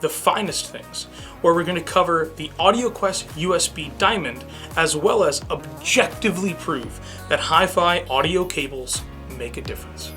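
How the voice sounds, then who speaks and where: A man's voice: a little high, moderate pace, bright, forceful tone, faint music behind. A man co-hosting a review show in a scripted but conversational, presenterly way. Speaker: show co-host.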